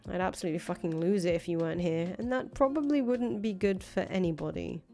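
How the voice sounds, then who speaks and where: A woman's voice: lowish voice, fast, vocal fry, mellow. A woman voicing a brash, blunt, foul-mouthed revolutionary leader in a visual novel, talking casually. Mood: pleading, frank. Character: brash, foul-mouthed revolutionary leader, volatile but attached to her friend. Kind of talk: visual novel dialogue reading. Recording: music behind the voice. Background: music.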